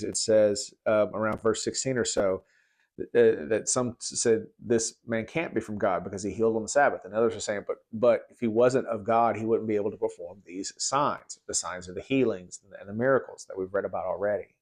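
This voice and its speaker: A man teaches from the Bible in an informal, conversational style. His voice is on the low side, and he speaks haltingly, repeating words as he explains. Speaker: preacher giving Bible commentary.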